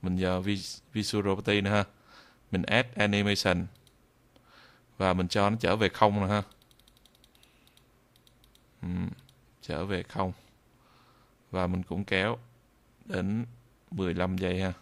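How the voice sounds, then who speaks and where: A man giving an unscripted software tutorial in a hesitant, halting way, often repeating phrases. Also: sits low, little pitch movement. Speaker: video tutorial presenter.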